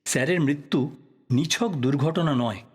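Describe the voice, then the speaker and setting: A man playing a thoughtful, polite writer probing a death in an audio drama, speaking in a measured, reflective way. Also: on the high side, natural voice. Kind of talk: Bengali audio drama acting.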